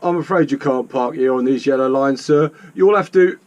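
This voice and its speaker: A man's voice: medium pitch, normal speed, darkish tone, muffled recording, close to an everyday voice. A man voicing an officious, deferential British policeman in a storybook, flustered and emphatic.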